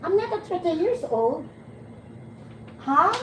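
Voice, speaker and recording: Filipino lady in her 40s chatting on a stream, high voice, slow, sounds far from the mic in an echoey room w/ noticeable background noise. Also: big pitch swings, fairly bright tone. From Philippines. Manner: chatty and teasing, laughs often, halting restarts. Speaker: livestream host. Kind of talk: casual chat with viewers. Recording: roomy, distant mic, noticeable background ambience.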